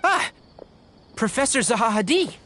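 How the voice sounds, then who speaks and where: A man's voice: very high voice, very animated, moderate pace, pushed and bright, slight background noise, heavily acted. A man voicing a polite, earnest, level-headed young scholar with a composed manner in an anime-style video game. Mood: inquiring. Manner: courteous, composed, purposeful. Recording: music behind the voice. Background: game music.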